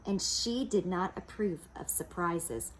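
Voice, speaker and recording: A woman reads a children's storybook aloud at an unhurried pace. Her voice is fairly high and very animated, and she explains simply as she goes, over faint background noise. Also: poor audio. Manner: explains asides simply to young listeners.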